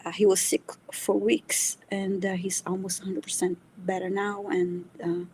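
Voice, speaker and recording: A woman's voice: mid-range voice, level tone, normal speed, darkish tone, a little hiss or hum, rough recording. A Brazilian woman, a native Portuguese speaker, co-hosting a live reading, hesitant with many fillers and half-whispered asides. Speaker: co-host. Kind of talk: live-stream chat reading out comments.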